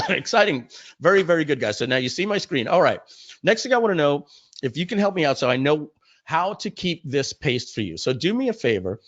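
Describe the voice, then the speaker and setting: A man with a slightly hoarse voice, chatting informally and directly to his audience as he hosts a live online webinar. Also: a little high, very animated, fairly quick, fairly bright tone, dry recording. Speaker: company founder hosting a webinar. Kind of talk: casual live webinar chat. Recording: muffled, close dry webinar audio.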